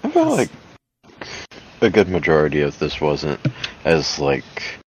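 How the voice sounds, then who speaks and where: A man's voice: very deep voice, huge pitch swings, measured pace, slight background noise, poor audio. A man in casual podcast chat as co-host, hesitant and prone to casual swearing.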